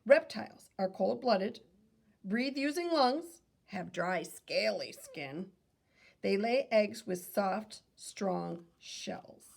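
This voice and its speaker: A woman reads a children's fact book aloud in a medium-pitched voice with noticeable vocal fry. She sounds like a teacher, posing questions, adding asides and correcting herself as she goes. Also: animated, takes it slowly. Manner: teacherly, asks questions and answers them, self-correcting. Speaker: read-aloud reader and teacher.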